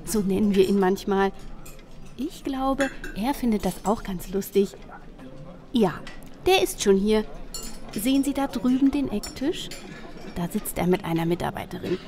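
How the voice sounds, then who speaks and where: A woman's voice: medium pitch, expressive, a little slow, long pauses, a little mellow, close to an everyday voice. A woman playing a polite, giggly restaurant waitress in an audio drama, with a slightly flustered manner. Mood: amused.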